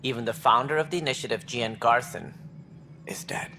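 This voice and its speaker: Stern, bright-voiced alien administrator. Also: male voice, mid-range voice, a little hiss or hum. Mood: somber. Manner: formal, measured, clipped. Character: stern, proud, disdainful male alien administrator. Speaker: alien colony director. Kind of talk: video game dialogue.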